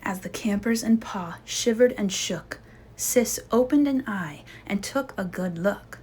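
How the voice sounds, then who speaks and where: Slow, slightly husky woman storyteller. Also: mid-range voice. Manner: expressive, suspenseful storytelling. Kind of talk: children's storybook read-aloud.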